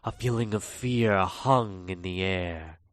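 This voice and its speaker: A man's voice: low voice, slow pace, no room sound. A man playing an ominous storyteller, reading scripted horror-tale narration to his audience.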